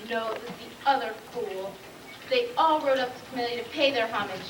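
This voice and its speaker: A woman's voice: on the high side, fairly quick, natural voice. A woman playing a demanding, short-tempered storybook queen in a stage comedy, haughty and curt. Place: school stage, live play performance. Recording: distant, noisy, echoey room recording of play.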